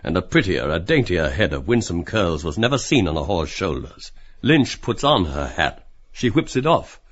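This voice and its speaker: Casting: male narrator, low voice, reading scripted stage directions, slightly muffled lo-fi recording. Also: sing-song, moderate pace.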